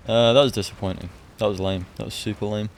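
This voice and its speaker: A man giving casual live gameplay commentary as a streamer, blunt and deadpan, in halting phrases. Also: on the low side, slight background noise. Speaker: game streamer.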